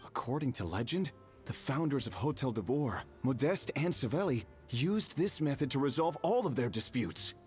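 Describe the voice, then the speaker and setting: A man's voice: mid-range voice, sing-song, normal speed, a little mellow, faint music behind, close to an everyday voice. An actor playing an earnest young male traveler in a game, who recounts things in a storytelling way. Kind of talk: game voice acting.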